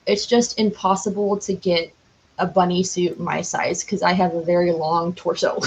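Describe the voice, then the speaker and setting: A woman's voice: fairly low pitch, level tone, normal speed, slight background noise, low-quality recording. A young woman chatting casually and enthusiastically as a podcast guest, rambling and saying "like" often. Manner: enthusiastic, rambling, heavy use of "like".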